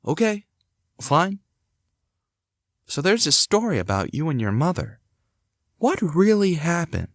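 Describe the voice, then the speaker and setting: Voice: medium pitch, unhurried, long pauses, no echo, studio quality. An actor playing a curious amateur sleuth in a hobby audio drama, speaking expressively. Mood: skeptical. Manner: expressive, swinging between musing and exclaiming. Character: curious amateur sleuth exploring an old house. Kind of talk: hobby audio drama acting, thinking aloud.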